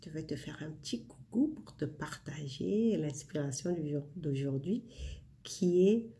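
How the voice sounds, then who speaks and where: A woman's voice: deep voice, animated, unhurried, gravelly, mellow. A woman in her forties talking casually to her viewers in a spiritual vlog, speaking intimately to the listener with frequent fillers. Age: 40s. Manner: intimate, addresses the listener directly, many fillers. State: winding down before bed. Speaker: vlogger sharing inspiration.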